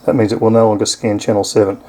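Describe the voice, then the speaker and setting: A man's voice: lowish voice, level tone, quick pace, mellow. An Australian man giving a casual, explanatory hands-on product review in an informal way.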